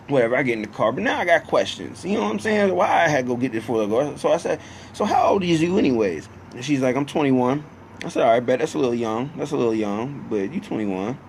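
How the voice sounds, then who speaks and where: A man in his thirties telling a casual, profane personal story in a slangy, conversational way, quoting dialogue as he goes. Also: medium pitch. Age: 30s. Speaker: storyteller and host. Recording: clear background noise.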